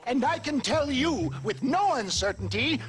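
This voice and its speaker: A man's voice: extremely high pitch, lively intonation, a little slow, heavily acted. An actor playing a pompous, formally courteous miracle-cure salesman in a Western game. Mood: indignant, pompous. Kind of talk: Western game cutscene acting. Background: loud music.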